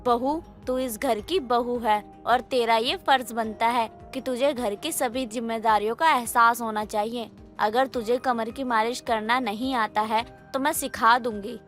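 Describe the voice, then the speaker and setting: A woman's voice: a little high, steady tone, a little slow, few pauses, fairly bright tone. A woman voicing an exasperated, scolding middle-aged mother-in-law in a moral story cartoon, complaining and nagging. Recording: music under the voice.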